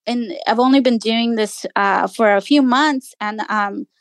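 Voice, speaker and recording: A woman's voice: a little high, measured pace, no echo. A woman journalist whose first language is Spanish, speaking earnestly in a radio interview and thinking aloud with many restarts. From United States. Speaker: journalist guest.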